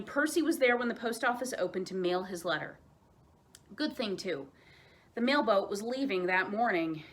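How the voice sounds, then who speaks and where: A woman telling children's stories aloud as a library storyteller, expressive, with dramatic emphasis and pauses. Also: mid-range voice.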